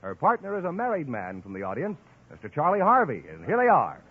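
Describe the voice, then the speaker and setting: Male radio announcer, 1940s style, lively intonation, slightly husky, formal sales delivery, thin band-limited broadcast. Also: fairly high pitch, fast, narrow, tinny audio. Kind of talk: scripted vintage radio advertising and announcing.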